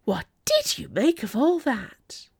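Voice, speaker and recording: Woman playing a gushing, high-voiced chatty young woman. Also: wildly swooping pitch, normal speed, a bit bright, dry recording, clean studio sound, full character voice. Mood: excited gushing. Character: chatty, excitable young woman, fond of frocks.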